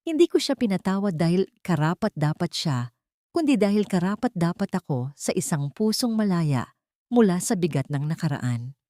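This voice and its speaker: A woman narrating a scripted first-person dramatic story in a solemn, deliberate, sentimental way. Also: fairly low pitch, big pitch swings, mellow, dry recording, clean studio sound.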